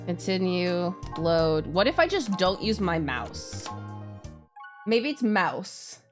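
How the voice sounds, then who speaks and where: A woman's voice: fairly low pitch, sing-song, takes it slowly. A woman streaming a game with casual, exclamatory live commentary, often repeating her phrases. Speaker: streamer playing a visual novel. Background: game music.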